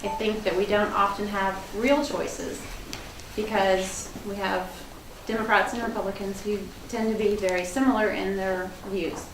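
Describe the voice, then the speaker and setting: A woman's voice: mid-range voice, flat delivery, moderate pace. Earnest woman candidate in a roomy hall. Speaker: political candidate. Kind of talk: candidate forum opening statement. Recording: roomy hall, distant mic, noisy.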